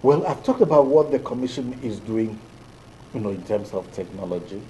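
Low, mellow Nigerian man, deliberate. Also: lively intonation, a little hiss or hum, low-quality recording. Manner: deliberate, hesitant with many fillers. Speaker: electoral commission official, guest. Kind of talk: measured studio interview answers. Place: TV studio.

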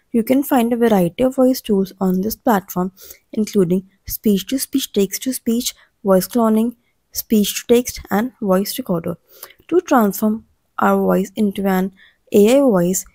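Female explainer narrator: relaxed mellow tone, measured delivery, dry studio-like sound. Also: medium pitch, vocal fry, no room sound. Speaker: channel narrator.

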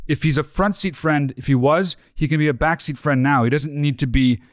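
A man around forty with an American accent talking casually as a podcast co-host, explaining his advice in measured, informal words. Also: fairly high pitch, no room sound, clean studio sound. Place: small podcast studio. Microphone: close boom-arm podcast mic.

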